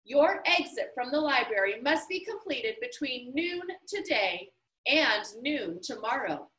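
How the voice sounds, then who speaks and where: A woman voicing a formal, precise librarian who reads out rules crisply. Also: sits high, sing-song, unhurried, a bit bright, heavily acted. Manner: crisp, formal rule-reading. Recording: roomy, somewhat distant mic.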